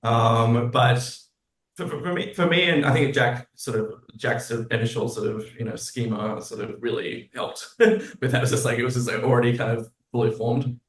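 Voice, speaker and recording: A man's voice: mid-range voice, normal speed. A man from Australia speaking as an interview guest, casual and reflective, hesitantly searching for his words. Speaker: composer and lyricist, interview guest. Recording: roomy echo on the voice.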